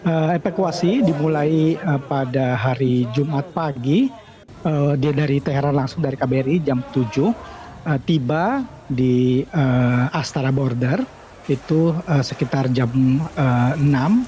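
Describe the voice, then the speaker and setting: A middle-aged man answering questions over a remote call, halting and pausing often with filler sounds. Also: mid-range voice, warm tone. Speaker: evacuee interviewed by phone. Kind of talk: hesitant phone interview answers. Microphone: phone or video call. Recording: dull lo-fi remote line with crowd behind.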